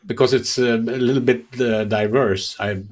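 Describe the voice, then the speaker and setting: Halting male podcast interviewer. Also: medium pitch, fairly even intonation. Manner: halting, repeats words as they search for phrasing. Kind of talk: conversational interviewing.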